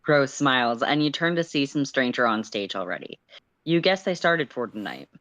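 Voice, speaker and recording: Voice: medium pitch, very animated, moderate pace, fairly bright tone, no room sound, poor audio. A narrator reading a story aloud on a casual livestream, with playful, self-deprecating asides.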